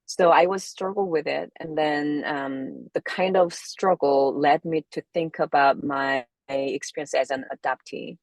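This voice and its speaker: A woman's voice: sits low, a little slow, few pauses, dry recording, poor audio. A woman from South Korea with a Korean accent, speaking reflectively as an interview guest and often searching for words. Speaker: interview guest, somatic movement therapist.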